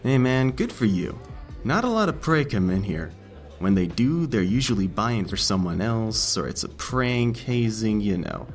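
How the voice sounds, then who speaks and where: Man playing a sleepy, low-voiced badger cashier. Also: big pitch swings, normal speed, soft background music. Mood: mellow, friendly. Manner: lazy, casual stoner drawl. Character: sleepy, laid-back short male badger fast-food cashier. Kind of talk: audiobook character acting.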